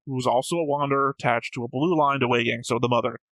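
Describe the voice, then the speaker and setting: Bright-voiced, pedantic man in casual debate. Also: medium pitch, steady tone, fast, no echo. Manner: pedantic, correcting his co-host. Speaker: reaction show co-host. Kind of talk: casual argumentative discussion.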